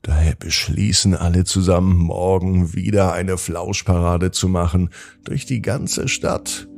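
A man's voice: low-pitched, fairly even intonation, a little slow, vocal fry, a little mellow. A man narrating a children's bedtime story in a soothing manner, drawing out words for emphasis.

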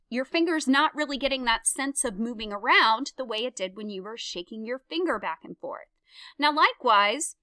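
Bright, chatty woman lecturer. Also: high voice, dry recording. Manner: chatty, self-deprecating, gives hands-on instructions. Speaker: psychology lecturer. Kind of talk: recorded slide lecture. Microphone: close mic, voice-over on slides.

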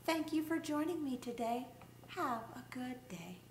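A middle-aged woman reads a picture book aloud for children's story time, expressively and deliberately, drawing out her words. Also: high-pitched, expressive. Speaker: special education teacher reading a picture book aloud. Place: small indoor room set up as a classroom. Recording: roomy echo, background room noise.

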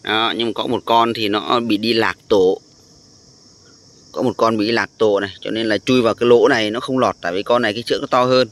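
A man speaking as a vlogger, casually explaining things in an informal, halting way while outdoors. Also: on the low side, steady tone, a bit bright. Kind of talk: casual narration of a nature vlog. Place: outdoors on a sandy tidal beach.

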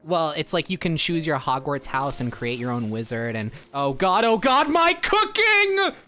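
A man's voice: medium pitch, normal speed, noisy background, close to an everyday voice. A man improvising a game dub as a cheeky, needy young lab assistant, casual and goofy. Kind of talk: improvised comedic game fandub.

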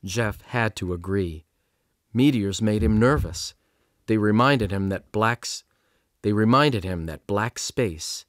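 A man's voice: on the low side, no echo. A middle-aged man reading scripted storybook narration as an audiobook narrator at a studio microphone. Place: small padded recording studio. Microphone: studio condenser microphone on boom.

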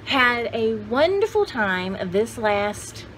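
A woman's voice: fairly high pitch, very animated, slow, rough recording. A woman in her sixties chatting casually as a vlog host, with a folksy, jokey, rambling manner. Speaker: host of a vintage haul video channel. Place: indoor home studio room with shelves.

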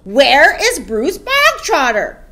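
A woman voicing a tyrannical, contemptuous headmistress in a storybook, barking and booming out theatrical insults. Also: huge pitch swings, slow, pushed and bright, heavily acted. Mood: barking command.

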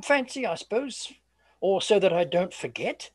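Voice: medium pitch, lively intonation, moderate pace, breathy edge, no room sound. An actor playing a weary, haunted old sergeant major in a radio play, with guarded, ominous, old-fashioned courtly speech. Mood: grim, haunted.

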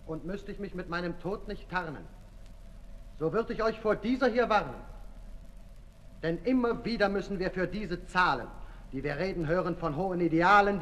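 An accusing revolutionary man orates in an old radio play. His voice sits high and echoes through a hall. The manner is declamatory and urgent. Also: a bit bright. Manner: rhetorical, declamatory. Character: embittered male revolutionary orator, accusing and urgent. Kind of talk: 1960s German radio play acting. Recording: reverberant hall, 1960s radio recording.

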